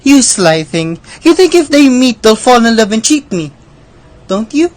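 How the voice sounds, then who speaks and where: A woman plays Death as a haughty, teasing gambler in a radio drama. The voice sits fairly high and talks down to others with sly, theatrical scorn. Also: sing-song, a little slow, darkish tone. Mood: sly amusement. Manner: theatrical, condescending.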